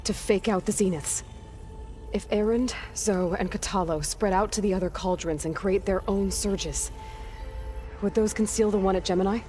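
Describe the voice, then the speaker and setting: A woman playing a determined, quick-thinking young heroine in a video game, earnest and probing. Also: mid-range voice, warm tone, soft background music, natural voice. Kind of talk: scripted video game cutscene acting.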